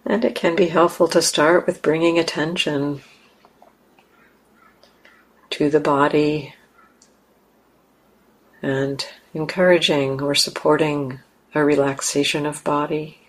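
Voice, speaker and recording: A woman leading a guided meditation, speaking calmly and gently with long, unhurried pauses. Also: sits low, poor audio. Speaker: meditation teacher.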